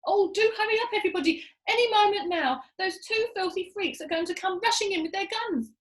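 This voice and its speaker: Woman as a bossy, excitable shouting monkey dad. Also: extremely high pitch, a little fast, echoey room, pitched above the performer's own voice. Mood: urgent. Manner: shouting, commanding, impatient. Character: bossy, excitable monkey father barking frantic orders.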